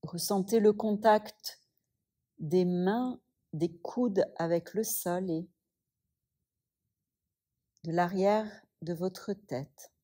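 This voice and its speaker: Slow, soothing woman guiding relaxation. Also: fairly low pitch. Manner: slow, soft and soothing, with long pauses. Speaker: yoga teacher. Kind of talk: guided yoga nidra relaxation.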